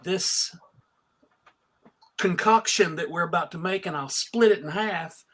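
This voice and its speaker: A man from Alabama with a high, bright, forceful voice hosts a fan panel. He talks casually and jokes along with plenty of exclamations. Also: a little slow. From Alabama, United States. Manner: exclamatory, joking. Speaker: host of a fan convention panel. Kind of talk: casual animated panel chat.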